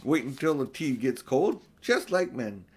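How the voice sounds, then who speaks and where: A man voicing a bossy, practical female beaver housewife from a storybook, with a brisk, homely, fussing manner. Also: mid-range voice, big pitch swings, husky. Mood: bustling scolding.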